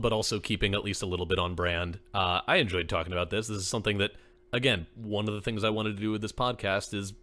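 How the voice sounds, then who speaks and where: Casting: male podcast co-host, lowish pitch, bright forward tone, earnest chat. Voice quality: voice people call radio-like. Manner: earnest, peppers speech with "like".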